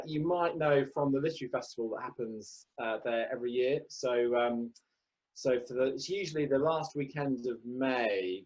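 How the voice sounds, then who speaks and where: Vicar, hesitant and thoughtful. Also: male voice, medium pitch, lo-fi sound. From Wales. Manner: hesitant, many ums and restarts. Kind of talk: relaxed interview answers.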